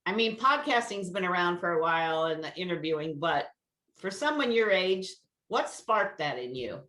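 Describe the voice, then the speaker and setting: A woman's voice: fairly low pitch, lively intonation, normal speed, fairly bright tone, reverberant, rough recording. A woman hosting a podcast, asking probing questions one after another. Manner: probing, stacks several questions. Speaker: podcast host. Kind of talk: interviewer questions.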